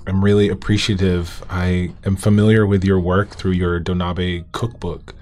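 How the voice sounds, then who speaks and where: A man hosting a podcast interview, asking questions in a polite, measured way. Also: low-pitched, little pitch movement, a little mellow. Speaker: podcast host.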